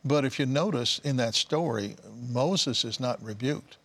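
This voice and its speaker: Canadian-born man, mid-pitched panel speaker. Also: measured pace, a bit bright. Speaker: religious panelist. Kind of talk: unscripted Bible teaching in a panel talk.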